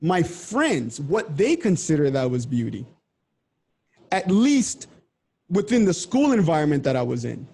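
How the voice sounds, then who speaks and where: Guy from the congo presenting a seminar on a video call, kinda high voice, earnest, circles back on his points. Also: big pitch swings, a little slow, a little mellow, breathy edge. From Democratic Republic of Congo. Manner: earnest, explanatory, repeats himself. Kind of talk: conversational presentation.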